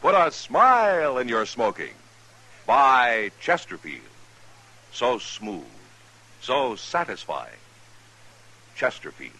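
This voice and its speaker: A male announcer delivers vintage commercial slogans. His mid-pitched voice is unhurried and smooth, with expressive rises and falls. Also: low-quality recording. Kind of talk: 1950s radio cigarette ad.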